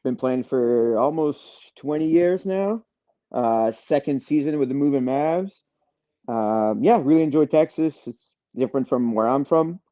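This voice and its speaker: A man introduces himself casually as an athlete guest. His voice is mellow and slightly high, and the audio is a bit dull. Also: a little slow. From Canada. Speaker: college wheelchair basketball player, guest.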